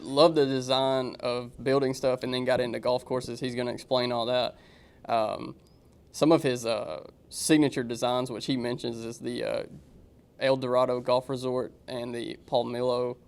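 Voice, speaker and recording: A man's voice: mid-range voice, level tone, measured pace, clean tone. A young man with a Southern US accent, co-hosting a golf podcast, talking casually and rambling as he introduces a guest. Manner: rambling, restarts while introducing. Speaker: podcast co-host. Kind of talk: casual chat.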